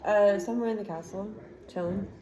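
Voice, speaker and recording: A woman reading a chapter book aloud to a child, with expressive narration and relaxed chatty asides. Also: mid-range voice, brisk, a crowd in the background. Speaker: storybook reader.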